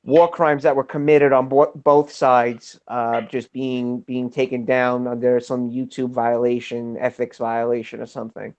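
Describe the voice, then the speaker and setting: A man talking in a casual online group chat, halting and restarting his sentences often. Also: mid-range voice, flat delivery, a little mellow, highs cut off.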